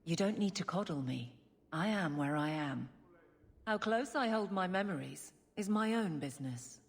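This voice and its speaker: A woman's voice: fairly low pitch, lively intonation, moderate pace. An actress voicing a blunt, loyal woman guard and former soldier in a video game, plain-spoken and dry. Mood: frank, weary. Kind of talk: video game character dialogue.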